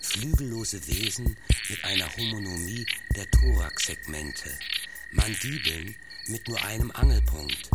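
Man narrating science over loud techno. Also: fairly low pitch, measured pace. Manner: enumerating Latin taxonomic terms like a lecture. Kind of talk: scientific scripted narration in a techno sound-art radio piece. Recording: loud electronic music and insect sounds underneath. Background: techno beats with chirping and buzzing insects.

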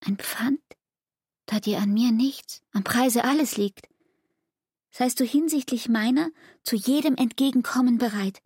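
An actress playing a hurt, reproachful young barmaid in a literary radio drama, speaking in a measured, deliberate and intense way. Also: female voice, on the high side, vocal fry, darkish tone, slightly rough, no echo, clean studio sound, exaggerated voice.

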